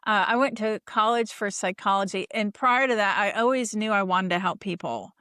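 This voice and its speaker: A woman's voice: mid-range voice, normal speed, pushed and bright, no room sound, studio quality. A woman hosting a podcast interview, chatting enthusiastically and rambling with restarts. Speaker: podcast host. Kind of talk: casual interview chat.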